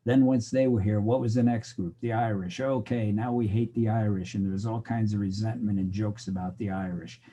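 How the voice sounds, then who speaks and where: A man's voice: on the low side, steady tone, brisk, few pauses, dark tone, lo-fi sound. An older American man giving a recorded sociology lecture, talking in a loose, conversational way with digressions, and acting out the exchanges he quotes. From United States. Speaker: sociology instructor.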